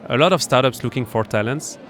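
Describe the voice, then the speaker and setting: A man with a French accent, a startup accelerator founder being interviewed at a startup event, explaining his business in a halting way full of fillers and restarts. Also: mid-range voice, a bit bright, a little hiss or hum. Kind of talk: conversational interview.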